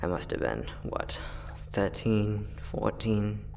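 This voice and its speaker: A man playing a friendly, teasing time-traveling inventor, soft-spoken and chatty in an ASMR roleplay audio drama. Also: fairly low pitch, expressive, slow pace, creaky, heavily acted. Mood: eager, proud. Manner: soft-spoken, chatty, playful, with frequent chuckles.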